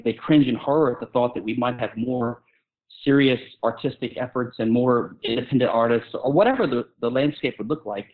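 A man's voice: medium pitch, a little mellow, rough recording. A man speaking as a guest author in an informal intellectual discussion, arguing his case and often rephrasing mid-sentence.

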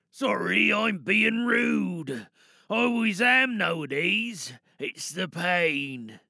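A man playing a bitter, self-loathing maimed war veteran who speaks formally and haltingly in a dramatic monologue. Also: sits high, creaky, sharp tone, dry recording, studio quality, full character voice.

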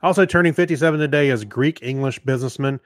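A man's voice: medium pitch, fast talker. A man co-hosting a podcast, reading out items and chatting casually. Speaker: podcast co-host. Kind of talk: casual announcing and banter.

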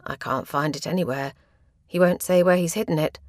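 A woman's voice: low voice, brisk, no echo, studio quality, close to an everyday voice. A woman voicing a conservative, hesitant female school friend in a storybook reading.

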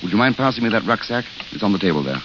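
World-weary, eloquent romantic idealist. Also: male voice, on the low side, very animated, a bit bright. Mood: wry, polite. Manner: courteous, literary, measured. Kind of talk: 1930s-40s radio drama acting. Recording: hissy, dull old radio recording.

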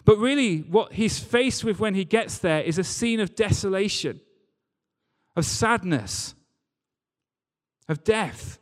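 A man's voice: sits high, lively intonation, slow pace, pauses often. A man preaching a sermon, reading scripture and talking it through in a conversational, informal way. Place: large reverberant hall, church service. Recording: long hall reverb.

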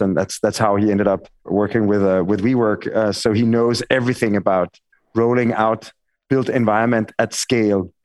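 A man's voice: fairly low pitch, darkish tone, dry recording. A middle-aged man with a Danish accent, a cofounder speaking in a panel conversation, rambling with frequent restarts. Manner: rambling, hesitant, many restarts. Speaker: architect and company cofounder.

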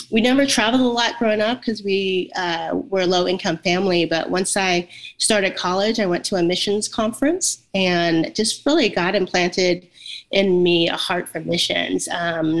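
A woman in her forties from Vietnam, a guest speaking over a video call, thoughtful with many pauses and fillers. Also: on the low side. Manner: thoughtful, many ums and uhs.

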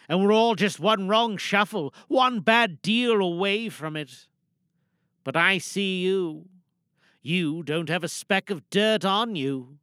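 World-weary pirate villain seeking redemption, played by a man, higher-pitched, clear, deliberate and pleading, for an audio drama monologue. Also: bright, forceful tone, no echo, clean studio sound, exaggerated voice. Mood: wistful.

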